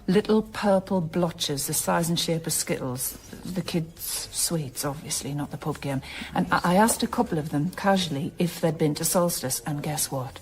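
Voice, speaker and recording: A persistent woman journalist played by an actress in a radio drama, with a fairly low voice. She talks conversationally and naturally, probing for answers. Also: normal speed, few pauses, darkish tone, a little hiss or hum, lightly acted.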